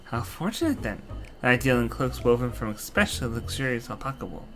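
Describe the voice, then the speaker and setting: A pushy, sweet-talking cloak seller from a video game, voiced by a man. The voice sits a bit higher than plain speech and rolls on with eager flattery. Soft game music plays behind it. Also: brisk. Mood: wheedling. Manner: flattering, salesy sweet talk. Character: fawning merchant selling alpaca cloaks, eager. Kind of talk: fan voicing of a video game.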